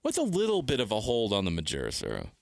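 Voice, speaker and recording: A man's voice: on the low side, huge pitch swings, fast, vocal fry, dry recording. A man co-hosting sports talk radio, insistent and blunt, driving opinions home in short repeated phrases on a close studio mic. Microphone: close broadcast studio mic.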